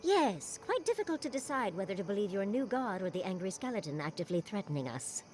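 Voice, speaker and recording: A woman's voice: medium pitch, very animated, fast talker, a little mellow, slight background noise, close to an everyday voice. An actress playing a sardonic, anxious female adventuring companion in a fantasy game, with a dry manner. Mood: sarcastic. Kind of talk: fantasy game cutscene acting.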